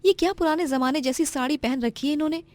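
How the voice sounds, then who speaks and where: A woman's voice: sits high, quick pace, a little mellow, no room sound, voice pushed up, close to an everyday voice. A woman voicing a spoiled, rude young bride in a cartoon, sneering and scolding. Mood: scornful. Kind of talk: cartoon voice acting.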